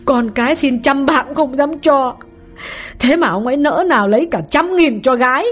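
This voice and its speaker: A woman doing a heartbroken older wife, high-pitched and shrill, cursing and crying in a storybook reading. the recording is a bit dull, with soft music underneath. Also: animated, a little fast. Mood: tearful. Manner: shrill, sobbing complaints and curses. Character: aging betrayed wife, bitter and grief-stricken. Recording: slightly dull, faint background music.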